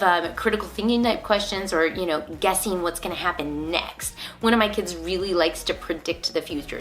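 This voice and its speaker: A woman's voice: lowish voice, pushed and bright. A woman, a homeschooling mother, talking casually and reading aloud in a chatty vlog style. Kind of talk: casual vlog talk with read-aloud storytelling. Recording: music over the voice. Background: music.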